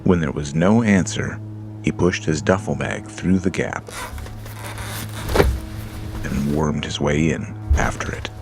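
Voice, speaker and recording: Super deep gravelly guy reading a scary story slow, creepy music behind. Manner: slow, measured, hushed storytelling. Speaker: narrator. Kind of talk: scripted horror narration. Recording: music bed under voice.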